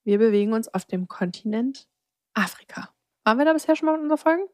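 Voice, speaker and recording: A woman's voice: fairly high pitch, expressive, fast talker, a little mellow, no room sound. A woman hosting a podcast, explaining and chatting in a conversational presenting style. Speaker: podcast co-host.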